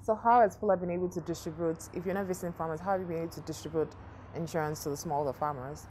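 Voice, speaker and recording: A woman hosting an interview program, asking the guest questions in a brisk, upbeat way. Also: lowish voice, fairly even intonation, darkish tone, a little hiss or hum, poor audio.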